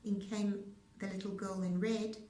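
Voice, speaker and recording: Woman storyteller, rhythmic verse, theatrical, unhurried, slightly distant roomy recording. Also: mid-range voice, level tone, warm tone. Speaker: storyteller, speech-language pathologist. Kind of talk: expressive children's rhyme read-aloud. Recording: roomy echo, distant mic.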